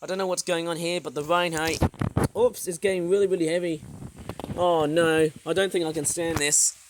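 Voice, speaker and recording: A vlogger with a hoarse, sore throat, narrating a casual outdoor tour of a backyard garden in a rambling, excitable way, filming on a tablet. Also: medium pitch, normal speed, noisy background. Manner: rambling, filler-heavy, excitable.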